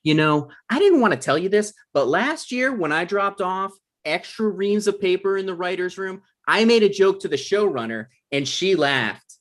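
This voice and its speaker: A man's voice: high voice, expressive, moderate pace, few pauses, fairly bright tone. Man playing a defensive, self-important office striver. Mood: scheming, defensive. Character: defensive, self-important male production coordinator, petty striver. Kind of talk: comedy table read.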